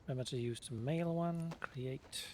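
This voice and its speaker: A man's voice: mid-range voice, moderate pace, darkish tone, a little hiss or hum. Casual man playing a game. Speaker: gaming streamer playing an RPG. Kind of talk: casual let's play commentary, reading item texts aloud.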